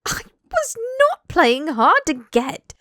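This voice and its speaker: A woman's voice: sits high, huge pitch swings, slow pace, a bit bright, no room sound, voice pushed up, exaggerated voice. A woman acting out a proud young British man, petulant, defensive, challenging, audiobook dialogue, dry close sound. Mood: indignant, challenging. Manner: challenging, indignant.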